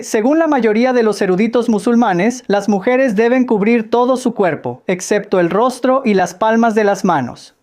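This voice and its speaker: A woman's voice: fairly high pitch, a little mellow. A woman giving a question-and-answer lecture, explaining patiently and quizzing her listeners with light jokes. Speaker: speaker answering audience questions on Islam. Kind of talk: Q&A lecture in Spanish voice-over.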